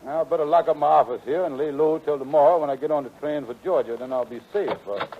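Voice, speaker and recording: An actor playing a scheming, fast-talking conman in a 1940s radio comedy, with pompous, mangled big words in folksy dialect. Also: on the high side, slight background noise, close to an everyday voice. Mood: nervous, stalling. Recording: tinny, band-limited 1940s radio broadcast.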